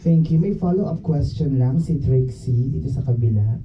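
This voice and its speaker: A man with a Filipino accent explaining something casually, polite and a little halting, switching between languages. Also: mid-range voice, warm tone. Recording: distant mic, dull lo-fi sound.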